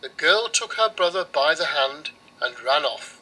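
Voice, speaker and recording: A man narrating a children's storybook in a measured, expressive way. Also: on the high side, breathy edge, rough recording.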